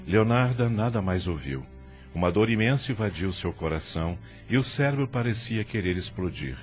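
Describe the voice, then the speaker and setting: A man's voice: lowish voice, lively intonation, moderate pace. A man reading dramatic scripted narration as the narrator of an audio drama. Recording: loud music under the voice. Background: loud music.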